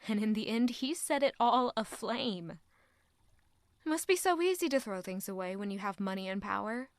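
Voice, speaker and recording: A woman's voice: mid-range voice, animated, normal speed, dry recording, clean studio sound, lightly acted. An actress playing an anguished, proud young court lady in an audio drama, brooding intimately in a dramatic first-person monologue. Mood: bitter. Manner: intimate, brooding, emotionally intense.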